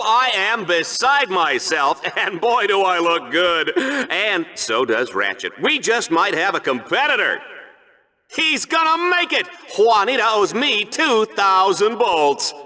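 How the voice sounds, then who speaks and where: Smug video game arena announcer, super bright forceful voice, swoops all over, sarcastic and bombastic. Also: male voice, sits high, heavily acted. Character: smug, hammy male sci-fi arena sportscaster, cowardly show-off. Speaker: sports commentator. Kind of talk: over-the-top game show commentary.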